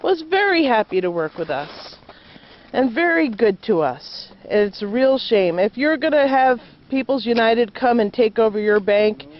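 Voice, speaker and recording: A woman homesteader speaks in an earnest, measured way. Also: on the high side, muffled recording. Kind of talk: plain spoken statement.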